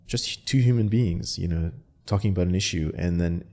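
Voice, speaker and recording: Deep, gravelly male founder as interview guest, loose and chatty with restarts. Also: moderate pace. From Canada. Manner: relaxed, many fillers and restarts. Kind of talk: casual interview talk.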